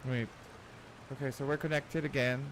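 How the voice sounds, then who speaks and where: A man's voice: mid-range voice, level tone, normal speed, background noise. A man livestreaming a game with casual, off-the-cuff commentary. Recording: game music behind the voice.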